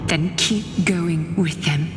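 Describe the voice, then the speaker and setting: An actress playing a noble woman leader in a game, grave, measured and resolute. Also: low voice, background noise. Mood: solemn resolve. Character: noble, solemn woman leader facing death. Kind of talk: dramatic game voice acting. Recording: echoey hall reverb under loud music.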